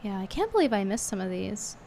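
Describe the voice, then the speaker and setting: A woman's voice: mid-range voice, sing-song, fairly quick, gravelly, mellow, a little hiss or hum. A woman streamer chatting bluntly with viewers, her voice strained from a cold and hard to get out. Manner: blunt, scornful and chatty, reading tips aloud. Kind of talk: casual livestream chat.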